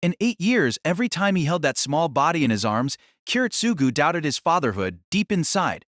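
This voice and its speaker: A man's voice: on the high side, big pitch swings, normal speed, a bit bright, no echo, clean studio sound. A man narrating a scripted story in a dramatic audiobook style.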